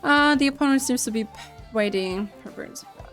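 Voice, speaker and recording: A woman's voice: high voice, brisk, a bit bright, faint music behind. A woman giving casual gameplay commentary, reading game text aloud and cheering her pet with giggles. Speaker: gaming video creator.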